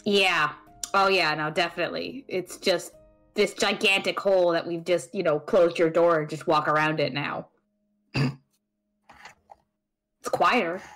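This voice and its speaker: A woman playing an introverted, opinionated young magic student in an audio drama, with a halting, deadpan, teasing delivery. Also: lowish voice, faint music behind. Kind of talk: audio drama dialogue, naturalistic.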